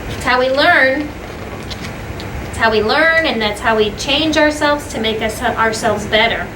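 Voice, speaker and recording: High-voiced woman reading aloud to children. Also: measured pace. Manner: simple explanations, repeats points, asks listeners questions. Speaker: school teacher reading to children. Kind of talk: children's story read-aloud with explanatory asides. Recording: echoey room, distant mic, clear background noise.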